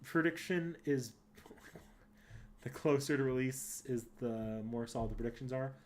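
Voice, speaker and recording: A man with an average-pitched voice talks casually as a livestream host. He rambles through his thoughts, backing up and correcting himself as he answers his viewers. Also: measured pace, a little mellow. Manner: rambling, thinking aloud with frequent self-corrections. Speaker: streamer and host.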